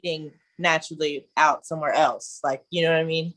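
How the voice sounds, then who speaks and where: A woman's voice: deep voice, steady tone, fairly quick, fairly bright tone. A woman in her twenties from Ohio, animatedly telling a story as a guest in a casual conversation.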